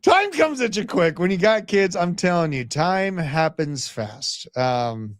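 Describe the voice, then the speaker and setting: A man in his thirties hosting a livestream, giving brash, animated market commentary and chatting with live viewers. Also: sits high, a little slow, no echo, studio quality. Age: 30s. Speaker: crypto livestream host.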